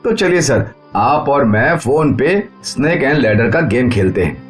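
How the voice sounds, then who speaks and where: A man's voice: medium pitch, lively intonation, a little slow, soft background music, natural voice. A man voicing an easygoing, deferential police constable in a cartoon horror story. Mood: eager, deferential.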